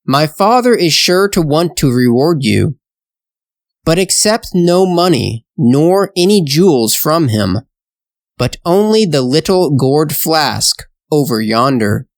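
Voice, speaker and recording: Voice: medium pitch, very animated, slow pace, no echo, studio quality. A narrator voicing a dragon's loyal young son giving counsel in a storybook tale. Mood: advising.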